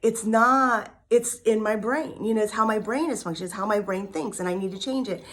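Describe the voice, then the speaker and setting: A woman's voice: medium pitch, fairly quick. Woman in her 50s talking straight to camera, candid and rambling, lots of ums. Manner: candid, talkative, many ums and repeats. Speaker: vlogger sharing a recovery story. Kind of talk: casual personal testimony.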